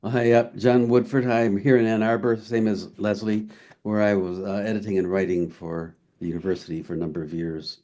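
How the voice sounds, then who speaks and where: A man's voice: fairly low pitch, little pitch movement, a little fast, warm tone. An older man, chatting casually as he introduces himself. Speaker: retired editor and writer. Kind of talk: casual chat.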